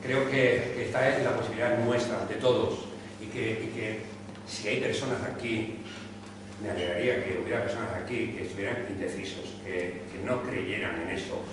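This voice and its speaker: A man's voice: lowish voice, fairly even intonation, normal speed. A man in his sixties from Córdoba, giving an impassioned talk at a neighborhood meeting, full of rhetorical questions. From Spain (Córdoba). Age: 60s. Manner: rhetorical questions, emphatic and earnest. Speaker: speaker at a public talk. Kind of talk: impassioned public speech. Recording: echoey hall, distant mic, background noise.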